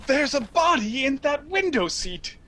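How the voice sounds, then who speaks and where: Male actor as appalled, flustered nephew for radio farce; stammering exclamations, sharp forceful voice, hugely varied pitch. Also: sing-song, a little slow, full character voice. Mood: panicked. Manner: exasperated, stammering, wildly exclaiming. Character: frantic, appalled nephew discovering his aunts' crimes. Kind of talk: theatrical radio comedy acting.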